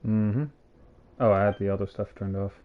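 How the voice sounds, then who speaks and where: A man's voice: fairly low pitch, flat delivery, moderate pace, phone-quality sound. A man giving casual, off-the-cuff live commentary as he streams a game.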